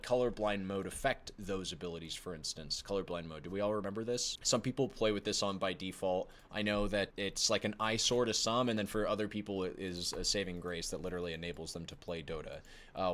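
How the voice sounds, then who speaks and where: Low-voiced man rambling apologetically. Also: a bit bright. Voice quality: self-described whiny voice. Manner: rambling, apologetic, many fillers and restarts. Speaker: gaming video creator. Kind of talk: casual talk to camera while playing.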